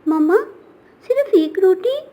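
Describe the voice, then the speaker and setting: A small, hungry, considerate bird child from a cartoon, put on by a woman. The voice is childlike and earnest, pitched well up high. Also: lively intonation, measured pace, muffled recording. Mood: concerned. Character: hungry, considerate little child birds, brother and sister.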